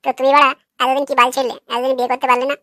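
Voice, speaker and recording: Lady doing a high flat-toned cartoon boy, cheeky, taunting, sweary. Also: very fast, dry recording, studio quality. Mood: mocking. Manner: taunting, foul-mouthed. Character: sceptical, cheeky, crude young male heckler. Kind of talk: comic cartoon dialogue.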